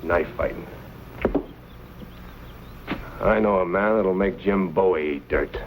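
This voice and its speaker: An actor playing a resentful, scheming saloon keeper in an old-time western drama, grumbling in a folksy way. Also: male voice, deep voice, normal speed. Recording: hissy old radio-era recording.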